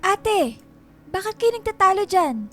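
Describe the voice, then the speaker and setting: A woman's voice: very high voice, sing-song, unhurried, a bit bright, full character voice. A woman playing an emotional, whiny younger sister in a Filipino radio drama, easily upset. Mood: timid, uneasy. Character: tearful, blaming younger sister.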